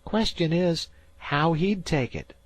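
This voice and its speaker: A man's voice: on the high side, animated, takes it slowly, dry recording, voice pushed up. A man voicing a frightened teenage fisherman boy who talks in rustic old-time dialect. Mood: spooked.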